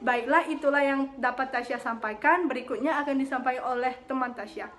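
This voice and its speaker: A young woman student reading a class presentation, steady but with frequent hesitant fillers. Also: sits high, a little fast. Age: young adult.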